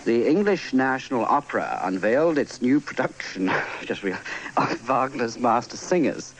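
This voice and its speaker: A man's voice: mid-range voice, animated, moderate pace, slight background noise, poor audio. A man presenting scripted radio items, stumbling and breaking off to comment on himself. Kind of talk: scripted news links with stumbles.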